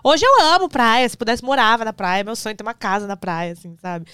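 A woman's voice: a little high, a little fast, clean tone, dry recording, studio quality. A young woman from Brazil talking frankly and giggling easily as a guest in a casual chat. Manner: frank, giggly, lively storytelling. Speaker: interview guest.

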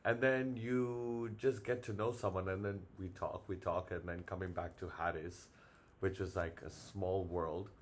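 A man's voice: sits low, steady tone, measured pace. A man chatting as a podcast guest, laid-back and casually swearing.

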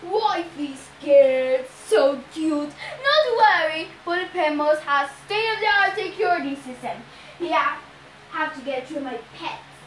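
A huge, boastful storybook Cyclops, put on by a young reader, high in pitch and slow. The speech is blunt, crude and proud. It sounds in an echoey room with some background noise. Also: fairly bright tone. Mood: menacing bravado. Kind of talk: storybook character voice. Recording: roomy echo, background noise.

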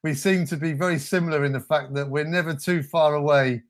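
A man's voice: on the high side, fairly even intonation, brisk. A man hosting a podcast, joking lightly in a casual chat and giving a friendly sign-off. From England. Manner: jokey, wraps up with friendly sign-off. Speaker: podcast host.

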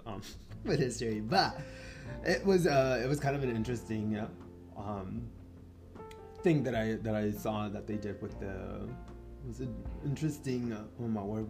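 A man's voice: lowish voice, darkish tone. A Mexican-born man whose first language is Spanish, hosting a podcast and chatting casually about films, rambling with many fillers and restarts and the odd swear word. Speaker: podcast host. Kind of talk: casual film review chat.

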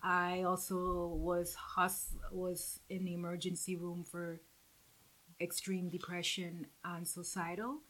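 A woman's voice: fairly low pitch, monotone, takes it slowly, a bit bright, poor audio. A woman in her forties from Guyana with a Guyanese accent, a guest talking candidly and earnestly in an interview.

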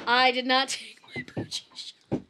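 A woman's voice: high-pitched, completely flat delivery, moderate pace, sharp tone. A woman hosting a comedy podcast, chatting casually and playfully with a giggly manner. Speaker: podcast host and cast member. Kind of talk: casual, jokey group chat.

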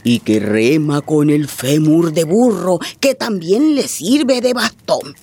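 A woman playing a Colombian grandmother telling spooky village legends to children, in a suspenseful, expressive way, for a radio play. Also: low-pitched, slightly rough, heavily acted. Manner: slow, suspenseful, expressive storytelling. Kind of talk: theatrical radio storytelling. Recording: music behind the voice.

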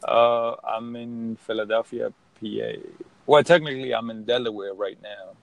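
Rambling man in his thirties, halting. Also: medium pitch, steady tone, creaky, no echo, low-quality recording. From Dominican Republic. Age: 30s. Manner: rambling, halting, many restarts, laughs easily. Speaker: software developer. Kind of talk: casual video chat.

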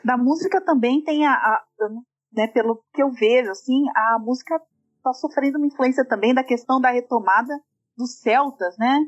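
A woman in a casual academic discussion, agreeable and quick to chime in. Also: high voice, lo-fi sound, faint music behind. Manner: agreeable, chimes in with short assents.

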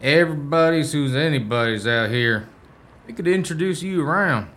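A man's voice: a little high, animated, moderate pace, a bit bright. A man playing a folksy, teasing country camp dweller in a radio play, full of homespun idioms. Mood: chummy.

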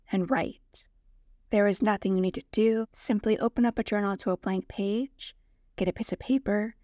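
A woman leading a guided meditation, giving gentle instructions in measured phrases with frequent pauses. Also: medium pitch.

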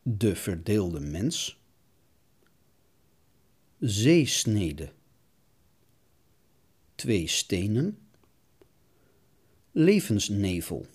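Husky man, slow podcast narration. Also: fairly low pitch, a little mellow. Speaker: podcast host. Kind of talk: scripted narration.

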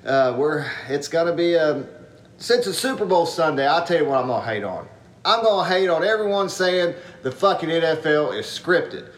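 A man's voice: on the high side, lively intonation, normal speed, echoey room. A man delivering a crude, heated comedic rant as a segment host.

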